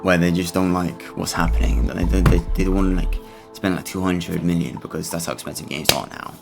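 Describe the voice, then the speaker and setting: A man giving casual, rambling and halting gameplay commentary, blunt and sweary as host of a gaming video. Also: deep voice, steady tone, gravelly, music in the background.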